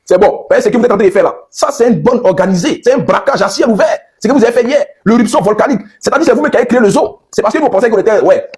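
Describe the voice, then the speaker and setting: A man's voice: high-pitched, lively intonation, racing, few pauses, darkish tone. A man. He sounds indignant and mock-outraged, like a casual social media commentator. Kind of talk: animated casual rant.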